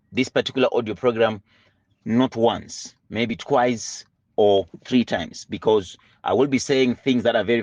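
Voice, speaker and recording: A man's voice: on the low side, darkish tone, no room sound. Earnest man, preacher-like emphasis. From Zimbabwe. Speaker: money mentor. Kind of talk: motivational audio lesson.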